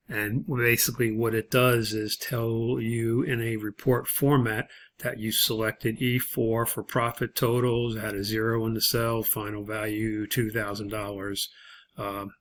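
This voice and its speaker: A man narrating an unscripted, step-by-step spreadsheet tutorial as an instructor, in a halting way. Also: lowish voice, fairly bright tone, a little hiss or hum.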